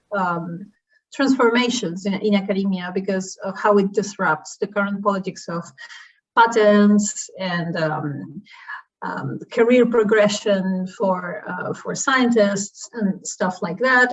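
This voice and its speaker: A woman from Argentina speaking in a relaxed way with fillers, at an ordinary pitch. She sounds distant from the mic, and the video call audio is dull and lo-fi. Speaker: social science researcher. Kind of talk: conference self-introduction.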